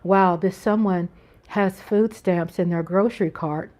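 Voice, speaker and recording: A woman's voice: fairly low pitch, fairly even intonation, a little slow, darkish tone. An American woman hosting a casual live-stream chat from home, talking in an earnest, rambling way with frequent restarts. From United States. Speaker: channel host.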